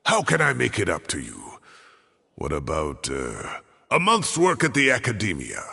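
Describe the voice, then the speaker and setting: A man voicing a grudging, cornered character with a raspy, gravelly voice in a fantasy video game. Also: lowish voice, wildly swooping pitch, normal speed, bright, forceful tone, in a large hall, full character voice. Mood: reluctant.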